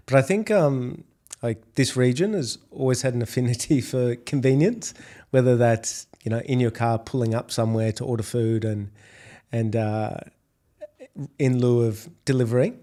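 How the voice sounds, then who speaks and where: Mellow-voiced Australian man. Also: mid-range voice. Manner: measured, repeats words when restarting. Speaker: podcast guest, food delivery executive. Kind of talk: business interview talk.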